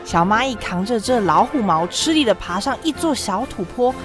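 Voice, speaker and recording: Young woman, highly animated storyteller. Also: normal speed, over music. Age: young adult. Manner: expressive, dramatic storytelling. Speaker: storyteller, narrator. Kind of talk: scripted children's story narration.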